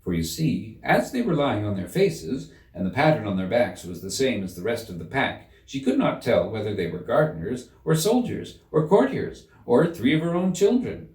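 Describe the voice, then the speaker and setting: A man giving a measured, theatrical reading of a children's story in an echoing room. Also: mid-range voice, sing-song, darkish tone, distant mic. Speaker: audiobook narrator. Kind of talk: expressive scripted storybook narration. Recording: roomy echo, slightly off the mic.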